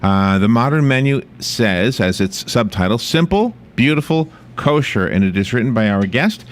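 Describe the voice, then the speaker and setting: A man's voice: medium pitch, lively intonation, moderate pace. A man from the United States hosting a local radio interview, chatty and teasing, with many restarts. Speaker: radio host.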